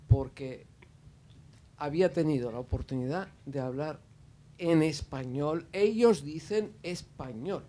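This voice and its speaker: Slow, hesitant man with a mellow voice. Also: fairly high pitch, a little hiss or hum. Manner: hesitant, many fillers and restarts. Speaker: audience member at a panel. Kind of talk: spontaneous spoken remarks at a panel discussion.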